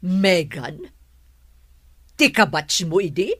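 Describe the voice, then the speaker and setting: A woman's voice: lowish voice, big pitch swings, slow, fairly bright tone, no echo, full character voice. An actress playing a disapproving, nagging mother of a grown son in a radio drama. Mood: scolding.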